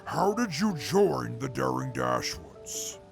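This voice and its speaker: A gravelly robot emcee, super formal n pompous, swoopy pitch, loud music under it, radio drama interview. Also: male voice, huge pitch swings, slow pace, exaggerated voice. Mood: pompous, courteous. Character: grandiose formal robot master of ceremonies. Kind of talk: audio drama acting, mock radio interview.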